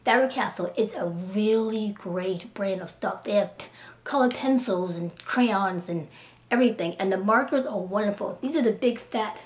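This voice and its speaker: A woman's voice: medium pitch, normal speed. Enthusiastic woman with a slight cold. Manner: enthusiastic, gushing, chatty with restarts. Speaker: art supply reviewer. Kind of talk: casual product demo. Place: small indoor room at home.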